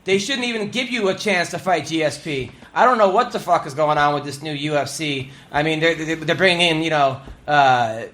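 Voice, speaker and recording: A male show host with a high voice talks in a laid-back, chatty way, cursing offhandedly. He sits in a studio, talking fights. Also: brisk, hardly any pauses, a bit bright. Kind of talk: casual sports talk show.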